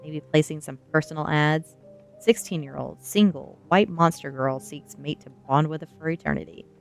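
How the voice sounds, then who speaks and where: A woman's voice: low voice, moderate pace, vocal fry, soft background music, pitched below the performer's own voice. A woman voicing a cocky rich teenage boy, a smug, mocking bully in an audiobook story. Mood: smug taunting. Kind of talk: audiobook character voice.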